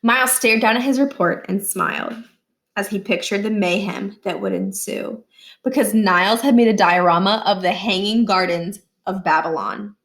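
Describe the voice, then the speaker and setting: A woman narrating a children's book aloud, expressively, with deliberate phrasing in short, emphatic groups. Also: medium pitch, fairly bright tone. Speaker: read-aloud reader.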